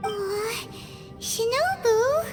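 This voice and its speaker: A little floating girl companion from a video game, squeaky and childlike. The pitch is extremely high and very animated, with exclamations drawn out at a slow pace. She is excitable and speaks of herself in the third person. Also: exaggerated voice. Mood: worried. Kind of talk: video game cutscene acting. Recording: voice under loud game music.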